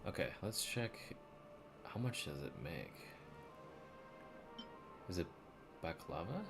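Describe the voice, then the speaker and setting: Deep-voiced man streaming a game. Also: sing-song, slow pace, creaky. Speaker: live streamer. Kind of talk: casual gaming stream chat. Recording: game music behind voice. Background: video game music and dialogue.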